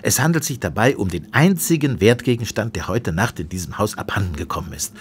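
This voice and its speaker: A blunt police inspector, played by a man talks in a fairly deep, animated voice. He is formal and businesslike, in radio drama style. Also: a little fast, a little hiss or hum, natural voice. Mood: formal, probing. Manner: formal, direct. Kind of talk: radio play acting.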